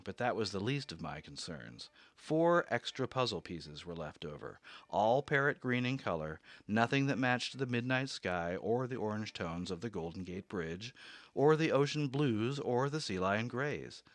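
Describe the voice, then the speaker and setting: A man's voice: lowish voice, animated, moderate pace, a bit bright, dry recording. A man narrating his own story in a radio drama, anxious and growing obsessive.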